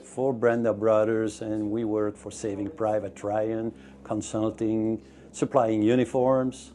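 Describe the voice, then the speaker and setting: A man's voice: on the low side, mellow. A middle-aged man from Belgium, a museum founder eagerly telling his story in slightly broken English during an interview. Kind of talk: on-location interview. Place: museum, indoors.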